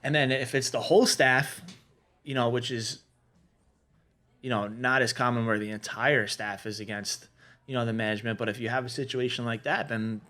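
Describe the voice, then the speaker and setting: A man with a mid-pitched voice gives casual advice in a podcast discussion. He explains things conversationally, setting out his points one after another as he goes. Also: fairly even intonation, moderate pace, pushed and bright. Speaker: podcast panelist giving restaurant management advice.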